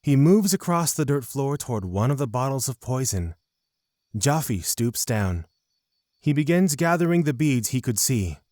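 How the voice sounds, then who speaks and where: A man's voice: mid-range voice, very animated, a little slow, darkish tone, no echo. Male narrator for fantasy audio drama: mid-range, dry studio-style sound, scripted storytelling.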